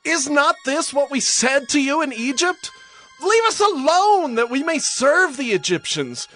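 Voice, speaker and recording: A man's voice: very high-pitched, very animated, a little slow, pushed and bright, exaggerated voice. A man playing a frightened, complaining ancient in a scripture audio drama, agitated, accusing and pleading. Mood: fearful accusation. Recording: music bed behind voice.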